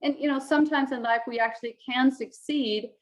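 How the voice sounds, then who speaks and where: A woman, teaching to camera from a small room in a calm, reflective way. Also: high voice, a little fast. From Denmark. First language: Danish. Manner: calm, reflective, gestures a lot with her hands. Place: small indoor room with a plain wall.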